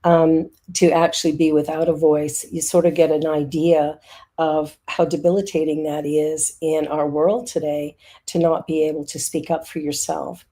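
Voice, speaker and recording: A woman's voice: sits low, little pitch movement, moderate pace, dark tone. A woman hosting a talk show, encouraging her guest and using lots of fillers like "you know". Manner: encouraging, uses many fillers. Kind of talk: interview hosting.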